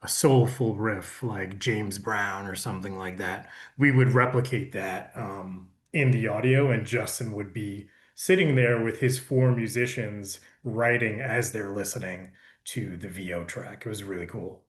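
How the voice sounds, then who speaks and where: A man's voice: lowish voice, steady tone, measured pace. A man, an audiobook producer, casually explaining his work in an interview. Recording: echoey, roomy sound.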